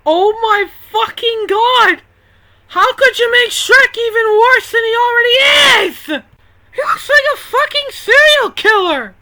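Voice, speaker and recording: A woman's voice: very high voice, unhurried, sharp tone. A woman narrating a video game review, sweary and exasperated, given to ranting outbursts. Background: music.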